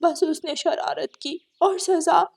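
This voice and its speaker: Mother crow for cartoon woman acting: distressed, pleading, high voice, unhurried pace. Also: fairly even intonation, gravelly, a little mellow, exaggerated voice. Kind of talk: cartoon story dialogue.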